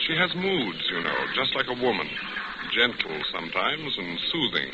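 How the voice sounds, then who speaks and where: A suave bachelor, very noisy old radio. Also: male voice, lowish voice, big pitch swings, highs cut off. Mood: suave, musing. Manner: suave, teasing, measured. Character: smooth, flirtatious, philosophizing bachelor ship passenger. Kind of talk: 1940s radio acting, romantic dialogue.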